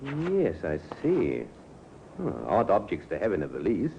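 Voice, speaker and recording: Dry police inspector with lively inflection. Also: male voice, lowish voice, exaggerated voice. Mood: thoughtful. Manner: measured, dryly sceptical. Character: shrewd, dry, seasoned male Scotland Yard police inspector. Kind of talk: old radio crime drama acting. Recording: muffled, hissy old radio recording.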